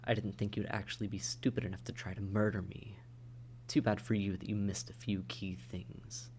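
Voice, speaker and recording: A man playing a taunting, predatory vampire in a roleplay audio drama, with mock-tender menace and breathy, coaxing speech. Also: fairly low pitch, a little mellow, a little hiss or hum, lightly acted. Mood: menacing, hypnotic. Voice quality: breathy, often whispered, creaky. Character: silky, taunting, predatory vampire toying with captive hunter. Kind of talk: immersive roleplay audio drama, often whispered.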